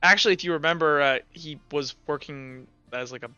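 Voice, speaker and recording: A man narrating as game master, improvising informally in halting descriptions full of filler 'like'. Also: fairly high pitch, pushed and bright, clean tone, no room sound, highs cut off. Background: background music.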